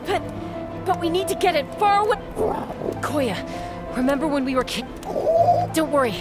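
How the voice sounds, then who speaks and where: A woman's voice: high-pitched, expressive, moderate pace, over loud music. A woman voicing a tough, steadfast young gunslinger peacemaker in a video game, firm and confident. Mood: urgent resolve.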